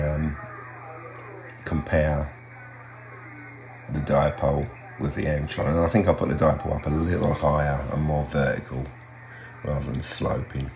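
An older man talking casually and haltingly in a low-key, unhurried way, like a hobbyist vlogging at home. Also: vocal fry. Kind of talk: casual hobby vlog commentary. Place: small home radio room, indoors. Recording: roomy sound with faint hum.

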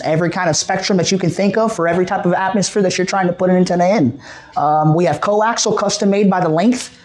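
American male repair technician, high-pitched voice, explanatory sales patter in a casual demo. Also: a little mellow. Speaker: radio repair shop technician. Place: small cluttered radio repair shop.

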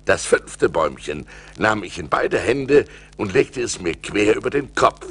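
An actor playing a shipwrecked sailor who recounts his perils in a husky, theatrical, suspenseful way, in an audio drama from a vinyl record. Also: fairly low pitch, big pitch swings, fairly bright tone, full character voice. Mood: determined. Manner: dramatic, suspenseful delivery with deliberate pauses. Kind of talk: theatrical radio-play storytelling narration.

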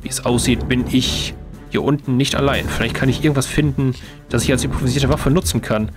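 Man acting out a halting, wounded young captive. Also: medium pitch, steady tone, over music, close to an everyday voice. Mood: determined. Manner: halting, repeating words. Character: wounded young male captive, determined, in a fantasy game.

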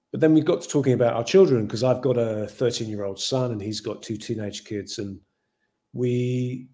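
English-accented man in his forties, mellow dark voice, thoughtful and rambling, over a video call. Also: medium pitch. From Ireland. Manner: thoughtful, rambling with many "you know" fillers. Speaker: film director. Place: home room with shelves.

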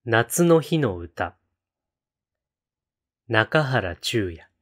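A man reciting poetry in a solemn style, with measured, deliberate phrasing and pauses between lines. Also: mid-range voice, very animated, a bit bright. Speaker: poetry reader on a read-aloud channel.

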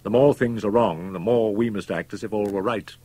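A man performs a worldly young doctor with murder behind him, in a storybook reading. He is calm and domineering, pressing each point persuasively in a firm, slightly husky voice. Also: fairly low pitch, brisk, no room sound, voice pushed up. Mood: cool, businesslike.

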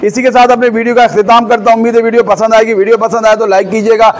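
A man walks through a goat farm, praising the animals eagerly and pitching them like a salesman. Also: flat delivery, very fast. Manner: enthusiastic, repeats blessings often, salesy. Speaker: vlogger visiting a goat farm. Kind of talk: walk-through vlog commentary with a seller.

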